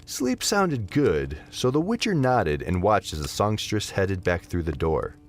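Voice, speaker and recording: A man's voice: low-pitched, big pitch swings, unhurried. A man narrating a scripted fantasy story expressively as the narrator of an audio drama.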